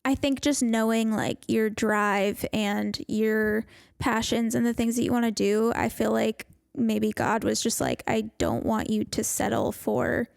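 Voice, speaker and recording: A young woman with a fairly high voice and a lot of vocal fry chats on a podcast, upbeat and full of "like"s. The sound is dry and close. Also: measured pace, no room sound. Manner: chatty, filler-heavy, upbeat. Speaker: podcast co-host. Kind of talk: casual chat.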